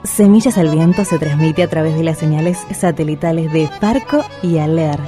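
Low-voiced woman reading a station notice. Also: music in the background. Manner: brisk, even read. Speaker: announcer. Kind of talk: scripted station announcement.

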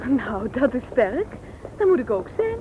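A woman's voice: on the high side, sing-song, a little slow. An actress playing a polite, helpful, somewhat hesitant young woman in a radio drama, eager in manner. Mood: friendly. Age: young adult. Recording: thin, phone-like old radio recording, slight noise.

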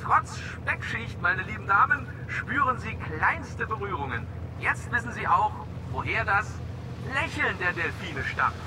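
An actor playing a jokey dolphin boat tour guide in a radio drama, lecturing tourists in a cheeky, rehearsed way. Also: male voice, high-pitched, expressive, a little slow. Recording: noisy outdoor boat-tour ambience.

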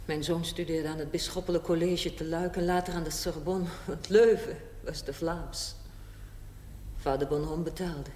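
An actress playing a worldly, unrepentant older woman in a television drama, speaking deliberately and theatrically with dry sarcasm. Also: low voice, natural voice. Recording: echoey hall with steady hiss.

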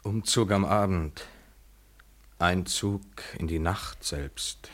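An actor playing a tender, yearning lover from abroad in a poetic radio play, intimate and lyrical, at times nearly whispering. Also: male voice, deep voice, slow pace, a bit bright, heavily acted. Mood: tender, urgent.

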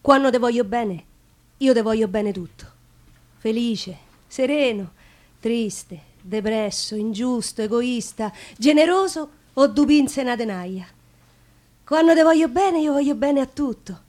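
A woman's voice: on the high side, expressive, measured pace, a little mellow. An actress with a Roman accent playing a passionate, generous yet wounded woman in love in a theatrical monologue. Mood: fervent. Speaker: stage actress.

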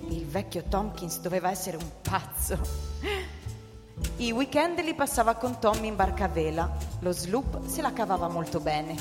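A woman tells a story as a radio drama narrator. Her mid-pitched voice is measured and literary, carried in a long hall reverb with clear music underneath.

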